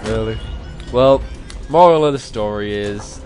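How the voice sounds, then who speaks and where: A man's voice: on the low side, unhurried, darkish tone, soft background music. A young man chatting casually while gaming, telling anecdotes and giving opinions. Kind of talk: casual chat while playing a game.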